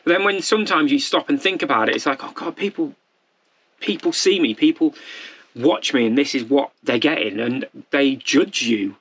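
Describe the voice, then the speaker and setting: A man's voice: a little high, lively intonation, normal speed, a bit bright, husky. A man in his forties, a vlogger talking off the cuff to camera in a candid, rambling, self-deprecating way. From United Kingdom. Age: 40s.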